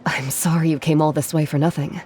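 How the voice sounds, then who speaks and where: A woman playing a gentle, modest artisan. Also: low-pitched, a little fast, a little hiss or hum, lightly acted. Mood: apologetic. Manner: calm, gentle, apologetic, modest. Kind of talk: game cutscene dialogue. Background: game music.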